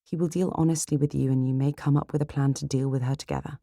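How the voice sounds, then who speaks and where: A woman voicing a witty, candid, level-headed young Regency heroine in an audiobook, poised and measured. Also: a bass voice, steady tone, gravelly, dark tone, dry recording, clean studio sound, voice pushed up, heavily acted. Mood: earnest.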